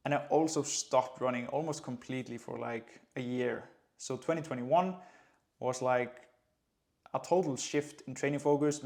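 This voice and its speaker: A man in his late twenties from Iceland, a running coach speaking English with an Icelandic accent in a relaxed, conversational vlog. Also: medium pitch. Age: late 20s. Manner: relaxed, conversational, light laughs. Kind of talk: casual vlog talk to camera.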